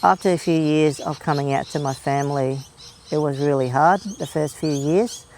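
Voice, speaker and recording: A young man telling his own story in a documentary interview, speaking in a soft, earnest and reflective way. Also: on the high side, moderate pace, a little hiss or hum. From Australia, family from Vietnam.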